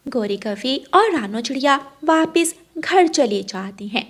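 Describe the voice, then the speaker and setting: High-pitched, breathy woman storyteller. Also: lively intonation, measured pace. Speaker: narrator. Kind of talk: scripted cartoon story narration.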